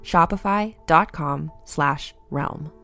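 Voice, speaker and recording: Lower-pitched woman reading an ad. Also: slow pace, sharp tone, soft background music. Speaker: advertisement voice-over. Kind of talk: scripted ad narration.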